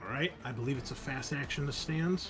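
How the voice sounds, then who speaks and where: A man's voice: mid-range voice, brisk, fairly bright tone, faint music behind. A man working as game master, narrating a horror roleplaying game, moving between dramatic description and casual rules talk.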